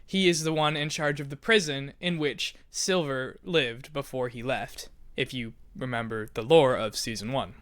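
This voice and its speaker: A man's voice: medium pitch, moderate pace, few pauses, a bit bright, no room sound. A man hosting in an informal, self-deprecating way, casually explaining a story to listeners. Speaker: podcast host and creator.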